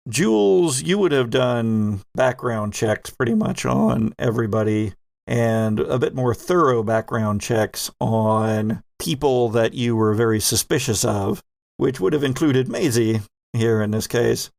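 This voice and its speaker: A man hosting a tabletop game as game master, narrating and questioning players with deliberate, halting phrasing. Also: mid-range voice, gravelly, darkish tone, no room sound. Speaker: game master and podcast host.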